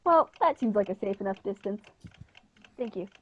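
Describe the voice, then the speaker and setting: A woman's voice: mid-range voice, lively intonation, normal speed, close to an everyday voice. A young woman playing an earnest male news reporter in a radio play. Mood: formal, inquisitive. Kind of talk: scripted radio play acting. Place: living room, video call. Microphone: earphones with inline mic. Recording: dull lo-fi video call audio.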